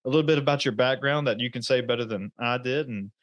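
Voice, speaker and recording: A man's voice: mid-range voice, quick pace, fairly bright tone, dry recording, lo-fi sound. A man hosting a podcast, who talks casually and rambles with many restarts. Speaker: podcast host.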